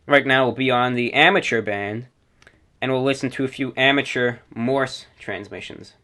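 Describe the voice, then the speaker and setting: A man's voice: medium pitch, normal speed, sharp tone. A man hosting a radio hobby show, explaining in an informal presentation with slightly formal, stilted phrasing. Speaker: host of a shortwave radio show.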